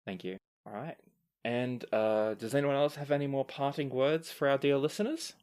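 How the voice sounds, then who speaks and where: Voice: medium pitch, moderate pace, darkish tone. A podcast host and game master chatting casually, earnest and appreciative in manner. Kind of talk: casual podcast chat and sign-off. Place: remote group recording over a call.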